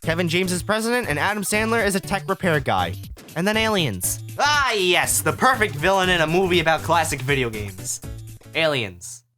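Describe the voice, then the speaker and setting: A man's voice: sits high, sing-song, moderate pace, sharp tone, soft background music. A young man giving casual, scripted video review commentary in a sarcastic, sweary manner. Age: young adult. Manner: sarcastic, profane. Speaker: video essay host, film student.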